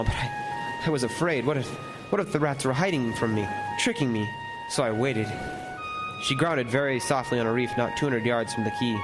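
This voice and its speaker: A haunted lighthouse keeper played by a man recounts his ordeal as a first-person radio horror narration. He speaks haltingly, repeating words and leaving suspenseful pauses, with loud music behind the voice. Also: mid-range voice, natural voice. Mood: hushed suspense.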